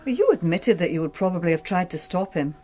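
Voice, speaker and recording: An actress playing a calm, reasonable woman, gently challenging, in a radio crime drama. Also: on the low side, fast, faint music behind. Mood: reasoning. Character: calm, reasonable woman soothing an aggrieved man.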